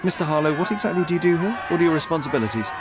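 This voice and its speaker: An actor playing a self-assured young detective in a video game, articulate, polite but pointed and persuasive. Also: male voice, on the high side, animated, very fast, crowd noise, noisy background, lightly acted. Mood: probing. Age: young adult. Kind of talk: video game cutscene acting.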